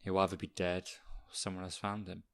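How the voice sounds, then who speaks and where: A man acting a gruff, cynical schoolteacher in a naturalistic audio drama, blunt and sardonic. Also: low voice, monotone, fairly quick, a bit bright. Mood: grim. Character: cynical, gruff male schoolteacher trapped in a crisis.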